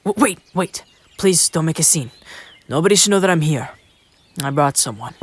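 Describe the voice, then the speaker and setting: A woman's voice: low-pitched, lively intonation, normal speed, slightly rough. A woman playing a nervous, remorseful friend in a game roleplay, sounding anxious and regretful. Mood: nervous, pleading. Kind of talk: online game roleplay voice acting.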